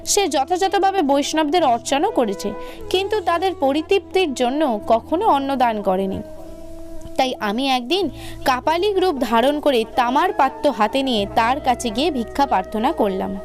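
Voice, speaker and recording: High voiced lady reading a religious story for a cartoon vid, formal and measured, music behind. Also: expressive. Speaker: devotional storyteller. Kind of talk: scripted religious narration for cartoon video. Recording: music under the voice. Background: background music.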